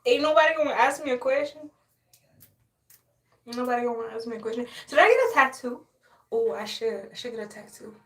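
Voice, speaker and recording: A woman's voice: a little high, lively intonation, fairly quick, pauses often, a bit bright. A young woman from Haiti chatting casually on a live stream, sassy, boastful and playful, often laughing. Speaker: livestreamer.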